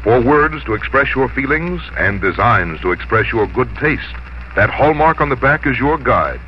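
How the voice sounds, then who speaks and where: Animated male old-time radio announcer. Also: mid-range voice, moderate pace, a little hiss or hum. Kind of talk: formal 1950s radio announcing. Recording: dull 1950s radio recording, faint music.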